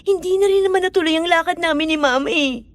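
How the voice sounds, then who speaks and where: High-voiced young woman in love, acted by a woman. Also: fast talker, no echo. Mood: tearful, pleading. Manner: pleading, insistent. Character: Bianca, upset young woman in love, pleading and stubborn. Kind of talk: Filipino audio horror-story drama acting.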